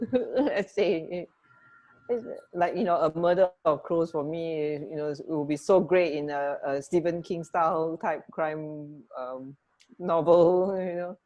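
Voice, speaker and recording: Woman, lowish pitch, radio co-host, informal conversation, hesitant searching phrasing. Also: takes it slowly, dark tone, lo-fi sound. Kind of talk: casual chat about fun facts.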